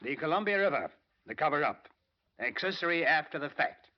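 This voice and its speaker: A man's voice: on the high side, expressive, a little fast, natural voice. A man playing an eager, insistent newsman in a 1940s radio drama. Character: eager male newsman named Flournoy. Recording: muffled old radio broadcast.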